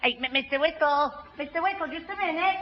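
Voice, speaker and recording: An actor playing a dithering, muddled young Northern English lad who stammers and over-explains in a 1960s radio sitcom. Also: male voice, extremely high pitch, fast talker. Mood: dithering, eager. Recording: dull, noisy, echoey, distant radio recording.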